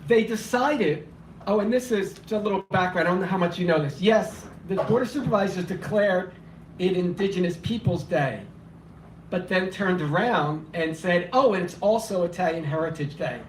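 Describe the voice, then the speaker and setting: A man reading his spoken word poetry at a cafe open mic, impassioned and declamatory, his voice slightly hoarse and weak. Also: high voice, normal speed, a little hiss or hum. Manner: impassioned, declamatory, rhythmic repetition. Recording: echoey room, voice from far off.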